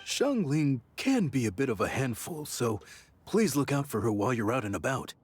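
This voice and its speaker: A man playing a kindly, fussing middle-aged father and cook in a video game, genial and gently admonishing. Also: medium pitch, big pitch swings, moderate pace, soft background music, natural voice. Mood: fond, cautioning.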